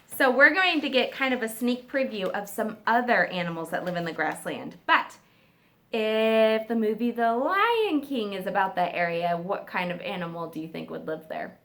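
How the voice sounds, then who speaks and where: A woman teacher reading a nonfiction book aloud to children, stressing key words and pausing for simple questions and explanations. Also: medium pitch, lively intonation, a bit bright.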